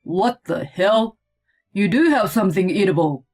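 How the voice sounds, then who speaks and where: A woman's voice: mid-range voice, animated, measured pace, darkish tone, faint music behind. Story role: grumbling, indignant male picky eater, female performer, whiny delivery. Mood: disgusted. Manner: indignant, complaining. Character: grumpy, whiny grown man, a picky meat-loving eater. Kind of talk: acted story dialogue.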